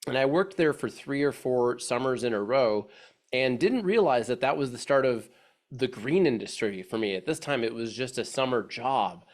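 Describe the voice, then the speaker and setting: A congested man with a stuffy nose, speaking at a middling pitch into a video call mic. He presents a webinar in a loose, chatty way, restarting sentences and stacking fillers. He comes across as a friendly, easygoing presenter. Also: normal speed. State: congested from allergies. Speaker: webinar presenter, agency head. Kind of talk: casual, rambling webinar presentation.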